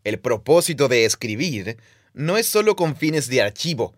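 A man's voice: medium pitch, very animated, moderate pace, no echo, studio quality. A man co-hosting a conversational podcast, explaining Bible stories step by step with playful quiz asides. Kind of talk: casual teaching conversation.

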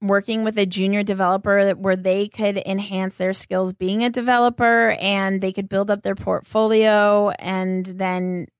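A woman's voice: mid-range voice, little pitch movement, moderate pace, few pauses, clear, resonant tone, no echo, studio quality. A woman with an American accent, hosting a casual interview in an enthusiastic, informal, chatty way. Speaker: podcast host.

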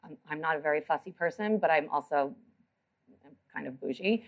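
A woman on a live panel talks in a lowish voice. She is casual and pokes fun at herself. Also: fairly quick, crowd noise. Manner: casual and self-deprecating.

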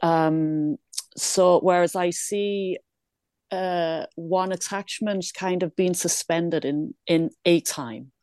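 A woman's voice: fairly low pitch, slow, darkish tone, no room sound. A woman born in England, now living in Ireland, answering interview questions in a reflective, hesitant way with many restarts and small laughs. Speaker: guest.

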